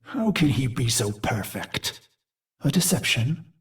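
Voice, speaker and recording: A man voicing a brooding warrior machine mind in a video game, recalling a brutal past in a grave, theatrical monologue with deliberate phrasing. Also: medium pitch, big pitch swings. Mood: solemn, haunted. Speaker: game character narrator. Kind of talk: dramatic scripted game monologue.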